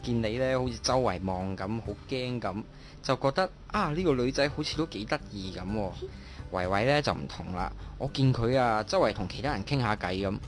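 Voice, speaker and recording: An actor playing an affectionate young boyfriend in a radio drama, gently teasing in colloquial speech. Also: male voice, medium pitch, lively intonation, brisk, close to an everyday voice. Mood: tender, teasing. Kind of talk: Cantonese online radio drama acting. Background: music behind the voice.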